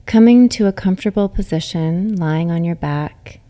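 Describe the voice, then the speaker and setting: A woman yoga nidra teacher guiding a relaxation meditation in a soothing, gentle, unhurried way, with soft, breathy phrase endings. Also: lowish voice.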